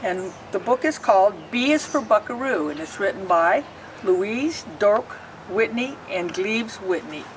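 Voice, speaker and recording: A woman reading a rhyming picture book aloud, pausing to explain the pictures. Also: mid-range voice, lively intonation, distant mic, slight background noise, low-quality recording. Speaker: storybook reader.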